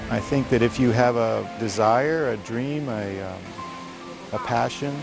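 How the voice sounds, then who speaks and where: A man speaking calmly and thoughtfully in a voice-over for a promo film. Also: on the low side. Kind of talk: reflective voice-over.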